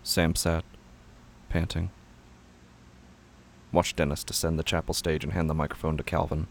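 Male podcast narrator, deep and crackling with gravelly fry, scripted delivery. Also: flat delivery, moderate pace.

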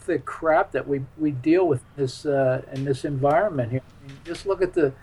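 An American man in his seventies reminiscing casually as an interview guest, in a rambling, halting way. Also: a little high, darkish tone, breathy edge. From Philadelphia, United States. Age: 70s. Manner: rambling, halting, chuckles while talking. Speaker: interview guest, UFO witness.

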